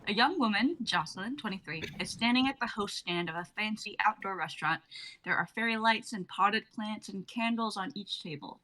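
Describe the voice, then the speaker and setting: A woman's voice: mid-range voice, fairly quick, bright, forceful tone, rough recording. A woman in her twenties announcing and narrating script directions as a writer on a livestream. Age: 20s. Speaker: writer and stage-direction reader.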